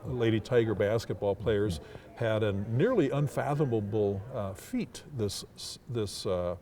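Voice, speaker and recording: An older man speaks in a low register with a soft, dark tone. He answers interview questions reflectively, choosing formal words and often pausing to search for the next one. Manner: hesitant, deliberate, formal wording. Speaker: sports public address announcer.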